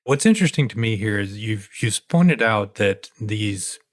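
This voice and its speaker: A male podcast co-host speaks in a measured, polite way. His voice sits somewhat low. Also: lively intonation. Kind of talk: interview hosting.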